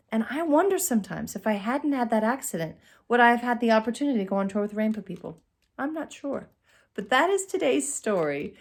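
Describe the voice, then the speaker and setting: Animated, high-pitched woman storyteller. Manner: theatrical, expressive, with dramatic pauses. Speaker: storyteller, channel host. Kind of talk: personal comedic storytelling to camera. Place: indoors, small curtained room. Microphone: phone at arm's length.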